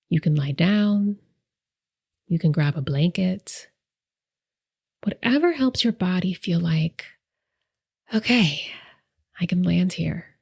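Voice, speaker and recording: A woman leading a guided meditation as host, speaking softly and gently with deliberate pauses, her breathy voice trailing into whispers. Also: on the low side. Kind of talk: soft, soothing guided meditation narration.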